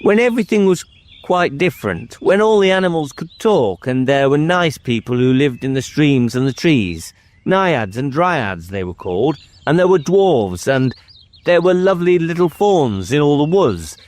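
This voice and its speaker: Man playing an eager little boy prince, high-pitched. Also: sing-song, moderate pace, darkish tone. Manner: earnest, babbling. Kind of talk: dramatic storybook character reading.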